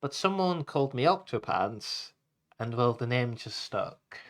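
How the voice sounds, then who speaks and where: Slow, playful man reading a story. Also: mid-range voice, lively intonation. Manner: playful, deliberate delivery. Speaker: show host reading a children's story. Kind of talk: rhyming storybook narration.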